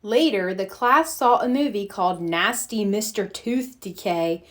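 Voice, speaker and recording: A woman reading a children's storybook aloud with expressive, deliberate narration. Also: medium pitch. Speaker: storybook reader.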